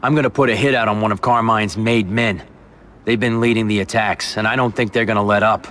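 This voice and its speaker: Actor as cocky, blunt mob boss. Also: male voice, on the low side, steady tone, a little fast, fairly bright tone, natural voice. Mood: resolute. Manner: tough-talking, profane, commanding. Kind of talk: video game cutscene acting.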